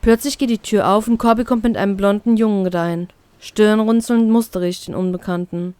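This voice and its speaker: A woman reading a first-person fan fiction story as an expressive narrator. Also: medium pitch, normal speed, smooth, dry recording.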